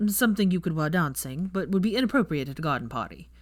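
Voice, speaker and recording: A woman's voice: lowish voice, lively intonation, quick pace, no room sound. A woman voicing a dry, teasing young wizard man with a mocking, sweary drawl, in a story reading.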